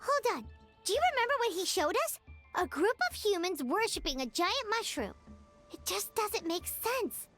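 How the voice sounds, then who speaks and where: A woman voicing a tiny, cheeky, childlike fairy companion in a video game, squeaky and chirpy. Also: big pitch swings, moderate pace, fairly bright tone, exaggerated voice. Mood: puzzled. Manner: squeaky, chirpy, refers to herself by name. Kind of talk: scripted video game voice acting. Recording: music behind.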